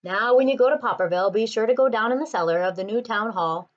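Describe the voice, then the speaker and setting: A woman reading a children's picture book aloud, with expressive and deliberate phrasing. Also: fairly high pitch, dull audio. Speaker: storybook reader.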